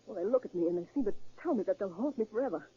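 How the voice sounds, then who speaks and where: A woman's voice: medium pitch, animated, very fast, breathy edge. An actress playing a bitter, frightened, tough-talking gangster's woman in a 1930s radio crime drama. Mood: fearful. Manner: tough, slangy, hesitant. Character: bitter, fearful gangster's woman bent on revenge. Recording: muffled, lo-fi 1930s radio recording.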